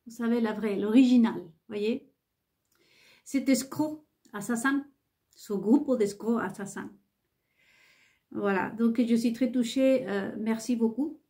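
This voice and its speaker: Slow, halting woman, non-native French. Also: fairly high pitch, mellow. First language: not French. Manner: halting, many hesitations and restarts; insistent, repeats herself. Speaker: vlogger. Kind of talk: rambling monologue to viewers.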